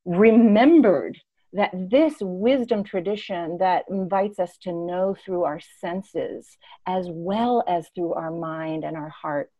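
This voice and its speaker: A woman's voice: on the low side, slow, mellow. Muffled recording, woman telling reflective stories. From born and raised in East Africa. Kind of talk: reflective personal storytelling.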